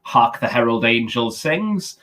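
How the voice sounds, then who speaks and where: A Welsh-speaking man in his thirties with a Welsh accent, a comedian guest giving deadpan joke answers over a video call. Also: medium pitch, unhurried.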